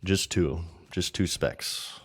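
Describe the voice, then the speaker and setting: A man streaming a game and chatting casually with viewers, rambling and thinking aloud, his voice scratchy and clearing his throat. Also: deep voice, takes it slowly. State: scratchy, failing voice.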